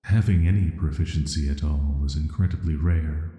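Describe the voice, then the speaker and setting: A man playing an ancient cursed villain in a sleep-aid audio roleplay, speaking in a soft, flat, soothing and intimate way, flirty yet dangerous. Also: extremely low pitch, normal speed. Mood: calm, admiring. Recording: echoey, long reverb.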